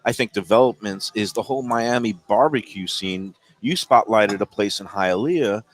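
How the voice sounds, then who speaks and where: A man's voice: on the low side, normal speed. A man hosting a casual food chat at a racetrack restaurant, talking in a rambling way with lots of fillers. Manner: talkative, digressive, lots of fillers. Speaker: host.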